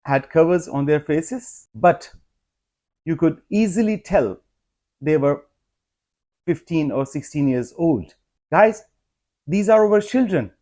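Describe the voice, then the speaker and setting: Slow, earnest man. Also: fairly high pitch, darkish tone. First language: not English. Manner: earnest, measured, rising to emphatic appeals. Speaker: vlogger. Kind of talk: personal vlog monologue.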